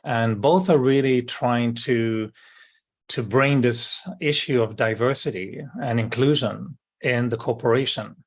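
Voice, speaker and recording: A middle-aged man with a French accent, from Brittany, talks as an interview guest. His voice has a gravelly edge at an ordinary male pitch. He thinks aloud, pausing, restarting and searching for the right word. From France (Brittany). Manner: thoughtful, searching for words, many fillers and restarts. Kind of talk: reflective conversation.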